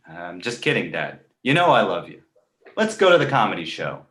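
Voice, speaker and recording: A man voicing a cheeky, crude talking baby boy in an animated comedy table read, sarcastic and blunt. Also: low-pitched, normal speed. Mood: casual. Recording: roomy echo.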